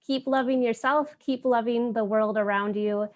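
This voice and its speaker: A woman's voice: fairly high pitch, steady tone, normal speed. Woman hosting online storytime, casual n encouraging, talks right to the viewers. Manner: encouraging, addresses viewers directly. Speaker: host of a community storytime program.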